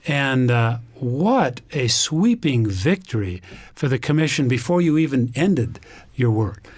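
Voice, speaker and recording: A man's voice: mid-range voice, sing-song, unhurried, slightly rough, dry recording. A man speaking as a guest on a radio talk show, explaining things earnestly. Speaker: interview guest. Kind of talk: conversational radio interview.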